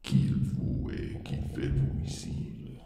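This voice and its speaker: A man playing a great, menacing wizard apparition in an ASMR fantasy film, gravelly and imperious. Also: natural voice. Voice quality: gravelly, dark, cavernous. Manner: slow, imperious. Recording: echoing hall reverb.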